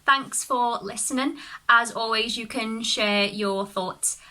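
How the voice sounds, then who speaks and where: A woman reading a short story aloud as expressive narration, with dramatic, deliberate phrasing. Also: a little high. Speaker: story reader.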